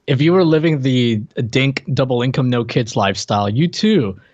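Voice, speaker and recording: A man's voice: mid-range voice, animated, moderate pace, darkish tone, no room sound. Man in casual podcast chat, dull dry audio. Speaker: podcast co-host. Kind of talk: casual chat about a TV show. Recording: dull, dry lo-fi microphone sound.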